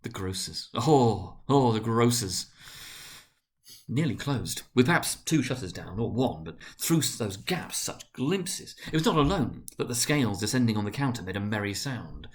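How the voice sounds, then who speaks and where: A man reading classic prose aloud as a storyteller, in an expressive, deliberate, dramatic narrating manner. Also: on the low side. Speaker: narrator, reader.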